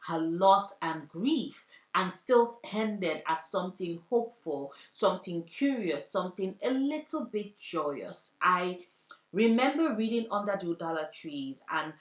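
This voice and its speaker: A woman's voice: fairly low pitch, expressive, a little slow, echoey room. A young adult woman talking earnestly about books she loves, often repeating phrases for emphasis. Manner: earnest, heartfelt, with poetic repetition. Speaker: book vlogger. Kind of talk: book recommendation vlog.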